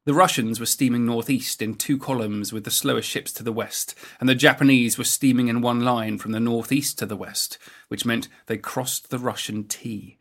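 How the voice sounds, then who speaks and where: A man reading scripted historical documentary narration in a measured, factual way. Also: mid-range voice, fairly even intonation, a bit bright. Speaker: narrator of a naval history programme.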